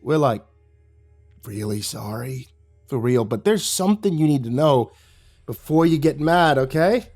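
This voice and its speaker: A man voicing a jittery, brash skinny boy who shouts in panic and pleads when caught. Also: mid-range voice, expressive, measured pace, faint music behind. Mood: pleading.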